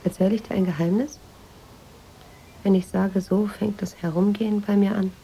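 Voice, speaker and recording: An actress playing an introspective, quietly troubled woman writer confiding her memories in a radio drama, in a measured, literary manner. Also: mid-range voice, steady tone, vocal fry, slight background noise, lightly acted, low-quality recording.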